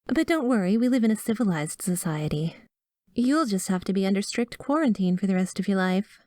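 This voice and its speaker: Girl acting as breezy lady doctor who's secretly obsessed, cutesy and coaxing, mid pitch, asmr roleplay, really dry close mic. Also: expressive, fairly quick, darkish tone, dry recording, lightly acted. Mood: awkward, falsely comforting. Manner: breezy, cutesy, coaxing, blithely delivering grim news. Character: chirpy, awkward female doctor, secretly an obsessive yandere stalker. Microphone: close studio mic.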